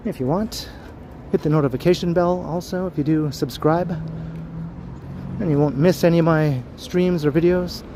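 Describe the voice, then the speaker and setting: A man with a deep voice, chatting casually with viewers while walking a city street for a livestream. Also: moderate pace. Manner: chatty, greets viewers as he walks. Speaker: livestream host. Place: outdoors on a busy city street. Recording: faint street and traffic noise.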